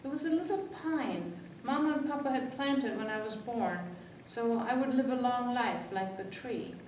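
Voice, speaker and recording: Female children's storyteller, expressive read-aloud, heard at a distance in a very reverberant room. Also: normal speed. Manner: expressive, acts out the dialogue. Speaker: library story-time reader. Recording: echoey room, distant mic, faint rumbly noise.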